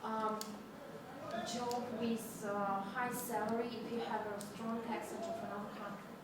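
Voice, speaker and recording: A woman student with a Russian accent asking a question in class, hesitant and halting. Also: fairly high pitch, little pitch movement. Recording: echoey hall, distant mic, loud noise.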